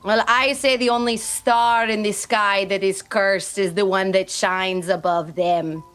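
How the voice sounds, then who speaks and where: Woman doing a firm young rebel leader, slow measured rally speech, tabletop game. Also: medium pitch, fairly even intonation, fairly bright tone, soft background music, close to an everyday voice. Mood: rousing.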